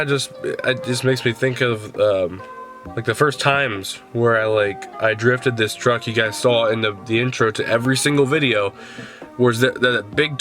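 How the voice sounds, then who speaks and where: A man's voice: on the low side, a bit bright. A young man with a stuffed-up, congested voice, rambling casually and swearing now and then as he walks around his truck for a vlog. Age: young adult. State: stuffed up from a cold. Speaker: car vlogger. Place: outdoors in a driveway by a truck.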